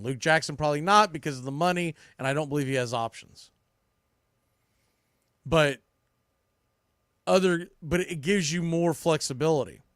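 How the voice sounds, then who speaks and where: A man's voice: fairly high pitch, clean tone, dry recording, studio quality. A man hosting a baseball podcast, giving off-the-cuff preview commentary and thinking aloud as he corrects himself.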